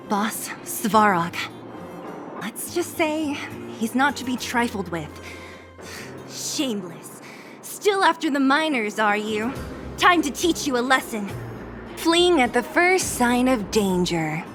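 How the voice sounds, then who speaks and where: Casting: confident young female fighter for a game; fairly high, blunt, cool, over music. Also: lively intonation, takes it slowly. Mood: scornful. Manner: blunt, cool, scornful of opponents. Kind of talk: video game character acting.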